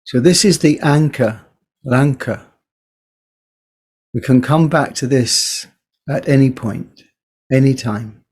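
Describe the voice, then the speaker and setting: Male meditation teacher, low-key body scan guide; very slow pace, gentle delivery, leaves space between phrases. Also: slightly rough. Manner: gentle, unhurried, pausing between short phrases.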